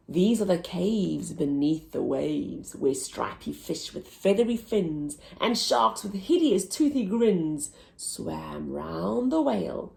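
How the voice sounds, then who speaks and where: A woman reading a rhyming children's book aloud, in a slow and deliberate sing-song. Her pitch rises and falls widely with the rhymes. A gravelly creak sits low in her voice. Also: darkish tone. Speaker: children's story reader.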